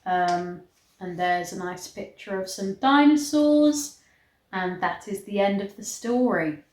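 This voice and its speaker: A woman with a slow, unhurried delivery reads stories and gives casual commentary. She is wry and sarcastic, and her voice carries the echo of the room. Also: mid-range voice, expressive, darkish tone, a little hiss or hum. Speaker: host reading a book aloud and reacting. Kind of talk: read-aloud storytelling with casual vlog commentary. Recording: echoey room.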